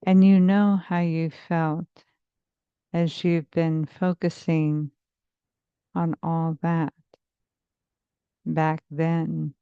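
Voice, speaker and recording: Woman doing guided meditation, really slow n soothing, long pauses, low voice, dry close sound bit dull. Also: level tone, smooth, no echo. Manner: soothing, measured, hypnotic phrasing with long pauses. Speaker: therapist leading a guided meditation.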